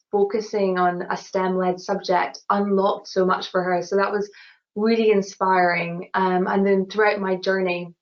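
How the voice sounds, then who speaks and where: A woman with a Glasgow accent answering questions in a webinar, earnest and full of "you know" and restarts. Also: mid-range voice. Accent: Scottish (Glasgow). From Glasgow, Scotland. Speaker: award-winning engineer guest. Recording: dull lo-fi webinar audio.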